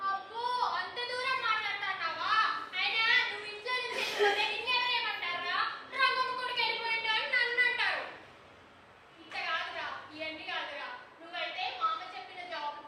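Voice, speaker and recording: A woman's voice: very high voice, fairly quick, noisy background. A woman playing a nagging village mother who keeps scolding her son, in a stage play. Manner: scolding, insistent. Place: theatre stage. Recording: echoey hall, far from mic.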